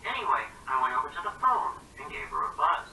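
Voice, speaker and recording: A man reading a novel aloud in the first person, conversational and expressive, with a very bright tone and a lively rise and fall. He sits well back from the mic in a roomy space. A faint hiss runs underneath. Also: a little high, fast talker, distant mic. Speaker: reader.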